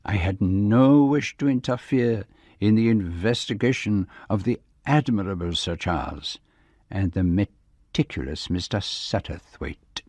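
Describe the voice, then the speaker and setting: An actor playing a courteous, wry old Belgian detective with a French-speaking accent, speaking precisely and formally in a radio drama. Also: male voice, lowish voice, expressive, unhurried, a little mellow, no echo. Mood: wry, reflective. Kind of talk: radio drama dialogue and narration.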